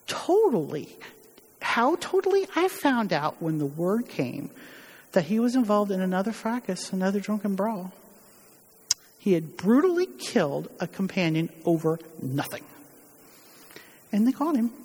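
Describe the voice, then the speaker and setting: A woman playing a grieving, ashamed widowed mother, speaking solemnly and haltingly with heavy pauses in a dramatic church monologue. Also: fairly low pitch, darkish tone, slightly rough, full character voice. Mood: regretful, bitter, then anguished. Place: large reverberant church during a worship service. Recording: echoey hall with long reverb, muddied.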